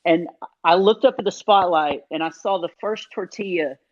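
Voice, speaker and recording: A man, a comedian guest in an interview, telling self-deprecating stories in an animated, casual way with many fillers. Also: high voice, moderate pace. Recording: dull lo-fi sound.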